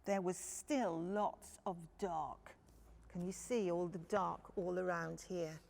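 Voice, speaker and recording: A woman tells a picture-book story to small children at a very unhurried pace. Her voice is a little low, with plenty of creak, and she addresses her young listeners directly in simple phrases. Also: steady tone, darkish tone.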